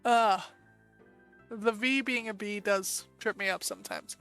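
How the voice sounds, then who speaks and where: Smooth-voiced chatty American woman streamer. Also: medium pitch, a bit bright, faint music behind. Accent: American English. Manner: chatty, thinking aloud, quick asides. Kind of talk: casual live-stream commentary.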